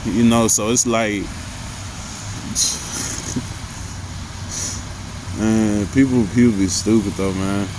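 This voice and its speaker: A man with a deep voice talking loosely about anxiety and growing up, with lots of slang and filler phrases. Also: background noise. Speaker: vlogger. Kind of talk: casual motivational talk.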